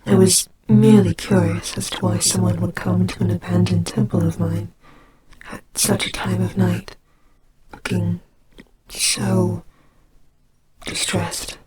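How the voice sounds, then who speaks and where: A woman voicing a gentle, soothing goddess of death in an audio roleplay, speaking softly and breathily with hesitant, reassuring care for a child. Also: lowish voice, flat delivery, measured pace, long pauses, close to an everyday voice. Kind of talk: slow dramatic audio roleplay. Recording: clearly noisy amateur home recording. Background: ambience sound effects.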